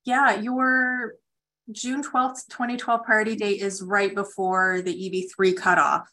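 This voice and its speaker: A woman's voice: medium pitch, flat delivery, measured pace, sharp tone. A woman with an ordinary mid-range voice speaks as an attorney advising callers on a conference call. She explains things directly and practically, in a conversational register. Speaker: immigration attorney hosting a call-in session. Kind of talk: conversational legal advice to callers.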